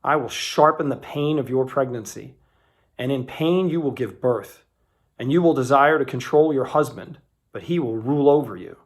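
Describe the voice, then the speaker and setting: A man's voice: medium pitch, moderate pace, lightly acted. A man voicing a stern, commanding God in a scripture reading, emphatic and accusing in manner. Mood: solemn judgment. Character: God, stern commanding male deity passing judgment.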